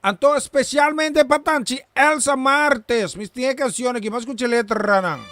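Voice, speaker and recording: A man hosts a gospel radio show, sending greetings to listeners in an upbeat, joking manner. For a man, his voice sits very high. The recording is dry and close, with no room sound. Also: steady tone, fairly bright tone, no room sound. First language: Papiamentu. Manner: upbeat, joking, reads out names quickly. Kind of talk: live radio shout-outs.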